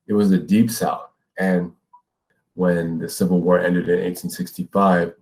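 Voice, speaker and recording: A man's voice: deep voice, warm tone, low-quality recording. A man with an American accent lecturing as a teacher, explaining ideas step by step and checking in with listeners. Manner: explanatory, often checks with "right?". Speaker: middle school ethnic studies teacher. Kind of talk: educational lecture with read-aloud passages.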